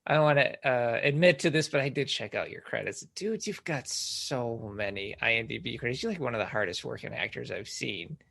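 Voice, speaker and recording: 40ish guy hosting a podcast, bright sharp voice, stumbles and restarts a lot, enthusiastic. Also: mid-range voice, brisk, vocal fry, few pauses. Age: 40s. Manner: chatty, stammers and restarts, enthusiastic. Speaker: podcast host. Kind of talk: casual podcast interview.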